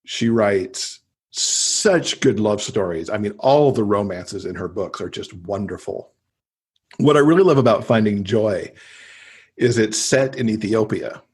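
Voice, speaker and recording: A man's voice: fairly low pitch, lively intonation, unhurried, darkish tone, slightly rough. An American man chatting casually and enthusiastically as an interview guest recommending books. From United States. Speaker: podcast guest, book lover.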